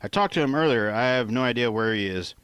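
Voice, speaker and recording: A man's voice: mid-range voice, expressive, quick pace, a bit bright, smooth, dry recording, natural voice. A man voicing a blunt, slangy, profane young skater buddy in an audiobook story. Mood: blunt, dismissive.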